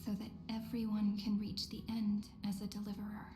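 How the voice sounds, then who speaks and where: A woman voicing a serene, thoughtful young woman in a fantasy video game, composed, soft and poetic. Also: mid-range voice, monotone, normal speed, natural voice. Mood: calm, wistful. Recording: echoey with music behind.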